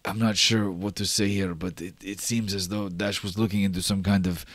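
A man voicing a stressed female ship officer who stammers anxiously in a hushed voice during tabletop roleplay. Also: low-pitched, little pitch movement, moderate pace, dry recording.

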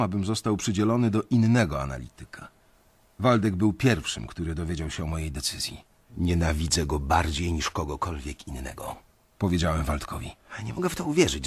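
Low, husky, resentful young banker. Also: male voice, expressive, a little fast. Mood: frustrated. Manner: bitter, confessional storytelling. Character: resentful young male London investment banker, narrating. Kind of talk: radio drama narration.

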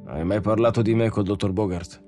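A man's voice: on the low side, steady tone, a little fast, warm tone, faint music behind, natural voice. A man playing an elderly research director, stern, brusque and impatient, in an audiobook story.

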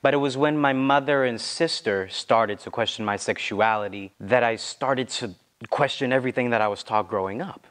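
A young man performing a personal monologue in a calm, reflective storytelling manner. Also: mid-range voice, normal speed. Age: young adult. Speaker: student storyteller. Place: dark indoor stage.

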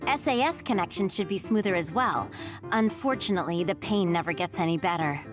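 A woman's voice: on the low side, expressive, a little fast, natural voice. A woman playing a friendly, caring young military operator in an anime game, informal and reassuring. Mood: informative. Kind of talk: English anime-game voice acting. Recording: music behind the voice.

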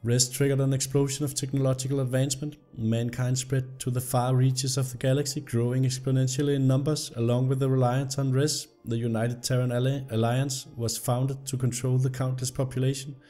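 A young man, slightly hoarse from illness, commenting on a game and reading its story text aloud. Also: medium pitch, brisk, hardly any pauses, soft background music. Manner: reads the story text aloud in an even narrating tone. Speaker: gameplay video host. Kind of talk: casual gameplay commentary.

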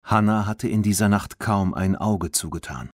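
A man narrating a children's audiobook in an expressive storytelling manner. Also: fairly low pitch, moderate pace, no room sound, studio quality.

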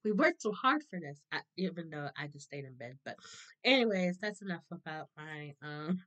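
A woman in her thirties with a hoarse, sore throat, chatting casually to a webcam, laughing often and clearing her throat. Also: sits low, lively intonation, moderate pace, fairly bright tone, muffled recording. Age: 30s. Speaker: vlogger. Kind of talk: casual chat to camera. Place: small room indoors.

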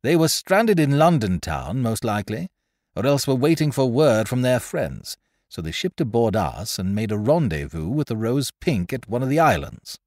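A man's voice: mid-range voice, very animated, normal speed, darkish tone, no room sound, studio quality, voice pushed up. A man voicing a reckless, jovial male sea adventurer who speaks in swaggering, old-fashioned seafaring talk. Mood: scheming. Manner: swaggering, archaic seafaring speech.

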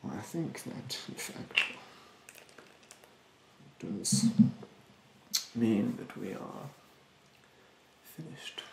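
A man in his twenties doing an ASMR roleplay as a rude beautician, speaking softly and breathily, often near a whisper, in a haughty, condescending way. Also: lowish voice, lively intonation, extremely slow, vocal fry, pauses often, roomy sound, background noise. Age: 20s. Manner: haughty, condescending, politely insulting. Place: small bedroom, indoors.

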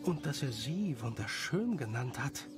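A man's voice: mid-range voice, big pitch swings, unhurried. A man narrating a scripted story in the present tense as its storyteller. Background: music.

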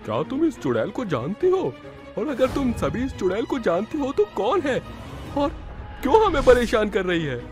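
Man as young male villager, raised pitch, indignant, demanding, cartoon drama, faint music. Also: huge pitch swings, moderate pace, full character voice. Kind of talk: cartoon dialogue.

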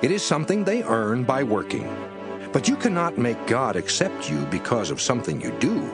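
Expressive male scripture narrator. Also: mid-range voice. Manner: emphatic, measured, rhetorical delivery. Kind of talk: dramatic scripted scripture reading. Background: music under the voice.

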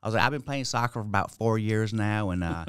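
A man in his thirties from Virginia, a comedian guest, laid-back and self-mocking, close to a boom mic in a small studio. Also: quick pace, clear, resonant tone, no room sound. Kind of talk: casual chat. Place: small podcast studio with foam panels. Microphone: studio mic on boom arm, headphones.

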